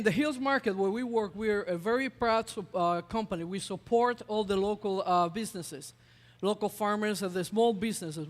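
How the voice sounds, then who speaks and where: Brief: high-pitched man, chef demoing on stage, chatty and halting, long hall reverb. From Ecuador. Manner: chatty, hesitant with many uh fillers. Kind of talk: live cooking demonstration.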